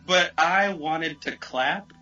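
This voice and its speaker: A man's voice: a little high, slow pace, muffled recording, soft background music. A man telling a story casually on a podcast, swearing freely and acting out what people said. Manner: expressive, acts out quoted remarks. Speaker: podcast speaker.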